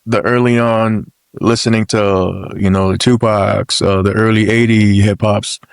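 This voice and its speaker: Low-voiced man host, dry sound. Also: level tone, unhurried, gravelly, clean tone, no room sound. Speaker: podcast co-host. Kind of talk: casual interview questions.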